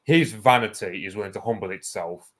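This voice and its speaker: A man's voice: lowish voice, fairly quick. A man in a casual online political chat, speaking hesitantly with restarts.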